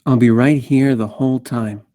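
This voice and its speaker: A man reading a grave, protective male character at a screenplay table read, measured and understated. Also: medium pitch, fairly even intonation, warm tone, natural voice. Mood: grave, reassuring. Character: grave, protective male Rabbit, steady and reassuring.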